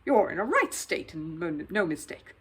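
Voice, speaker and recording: A woman's voice: fairly low pitch, very animated, moderate pace, a bit bright, husky, exaggerated voice. A woman voicing a friendly, blunt working-class male taxi driver in a storybook, talking colloquially. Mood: sympathetic. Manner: colloquial, matter-of-fact, concerned.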